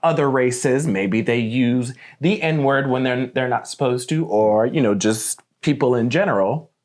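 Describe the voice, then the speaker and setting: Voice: mid-range voice, unhurried, a little mellow. A podcast host asking guests questions in a hesitant, searching way. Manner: hesitant questioner, playful jokes. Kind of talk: casual chat.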